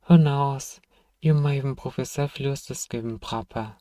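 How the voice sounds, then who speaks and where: A gruff apothecary from a fantasy ASMR roleplay, acted by a man, speaks at a medium pitch with a dark, mellow tone. He is sardonic and grudgingly kind, full of sighs and dry teasing aimed at a single listener. The sound is intimate and close, with hardly any room on it. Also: unhurried, no room sound, close to an everyday voice. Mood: teasing, softening. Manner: dry teasing, sighing, murmuring to one listener.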